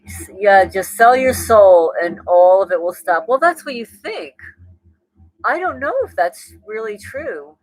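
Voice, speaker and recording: A woman's voice: mid-range voice, big pitch swings, unhurried, darkish tone, dry recording, rough recording. Chatty woman livestream host. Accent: New Jersey. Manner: chatty, thinks aloud, frequent restarts and laughs. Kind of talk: casual livestream chat reading viewer comments.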